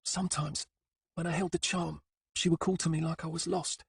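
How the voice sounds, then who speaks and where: A man voicing a frightened young boy who speaks in hushed, halting words with a husky voice. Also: a little high, little pitch movement, no echo, studio quality, pitched above the performer's own voice, full character voice. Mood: fearful hushed.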